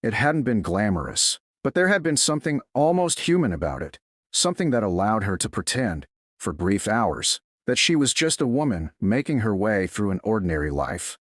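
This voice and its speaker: A man reading scripted dramatic fiction as a narrator, in a measured, solemn way. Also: on the low side, very animated, dry recording, clean studio sound. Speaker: story narrator.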